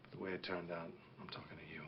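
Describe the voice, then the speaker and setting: A grave middle-aged war veteran officer, played by a man, speaks slowly and solemnly, like a storyteller addressing a child. His voice sits a little low. The recording is dull and lo-fi, with a faint hiss behind it. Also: level tone. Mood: earnest. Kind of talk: dramatic monologue performance.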